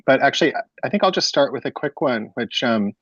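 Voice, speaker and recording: A man's voice: mid-range voice, expressive, fast, fairly bright tone, husky, dry recording. A man moderating a talk, putting questions conversationally in tentative, hesitant phrases, on a dull lo-fi recording.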